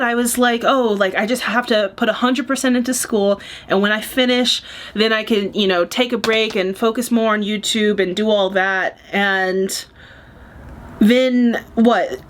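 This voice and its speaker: A young woman in her twenties talks casually to camera while she does her makeup. She is chatty and candid and drops in jokey asides. Her pitch sits in a middle range. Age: 20s. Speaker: beauty vlogger. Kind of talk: casual get-ready-with-me chat.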